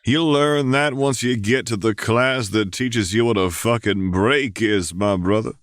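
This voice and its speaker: A man's voice: fairly low pitch, unhurried, fairly bright tone, no echo, studio quality. A man playing a laid-back, affectionate young stoner in an audio drama, with a lazy, slangy drawl. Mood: teasing, warm. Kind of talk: audio drama acting of fan fiction.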